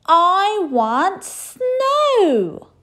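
Little princess for a storybook, woman performing: young, bossy, whiny, high-pitched, dragging out every demand. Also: sing-song, fairly bright tone, heavily acted. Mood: furious, stubborn. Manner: squealing, whiny, drawn-out demands.

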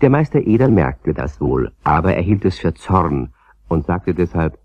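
A man narrating a children's radio play as a calm, gently amused storyteller with a husky voice. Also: low-pitched, moderate pace, no echo, highs cut off, heavily acted. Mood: storytelling.

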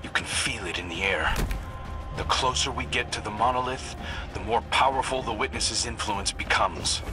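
An actor playing a serious, watchful field ally in a video game, speaking in a grave, measured manner. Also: male voice, lowish voice, over music, natural voice. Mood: foreboding.

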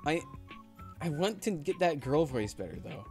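A young man streaming, narrating and chatting casually in a playful, animated manner. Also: a little high, normal speed, soft background music. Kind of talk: casual livestream chat and game narration.

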